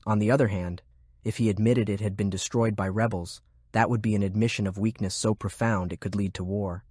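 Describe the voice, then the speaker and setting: A man reading scripted fiction narration in a measured, deliberate way. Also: fairly low pitch, steady tone, a little mellow, dry recording, clean studio sound. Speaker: audiobook narrator. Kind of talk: scripted narration of a fantasy war novel.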